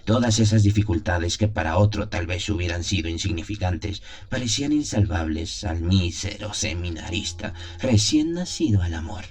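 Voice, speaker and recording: A man's voice: deep voice, expressive, moderate pace, hardly any pauses, darkish tone. A man playing an anguished, lovesick young seminarian who solemnly narrates his torment in a radio play. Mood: brooding anguish. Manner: solemn, brooding, theatrical.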